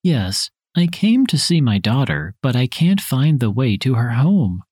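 A man reading his parts in a scripted dialogue for a language listening test, with careful, even delivery. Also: medium pitch, measured pace, breathy edge, no echo, clean studio sound.

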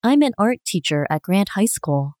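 A woman reading her parts in a scripted dialogue for a language listening test, clear and expressive. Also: medium pitch, moderate pace, no echo, studio quality.

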